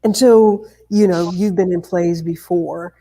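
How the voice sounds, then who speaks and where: Female interview host, lowish mellow voice, deliberate and unhurried conversational questioning. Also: steady tone.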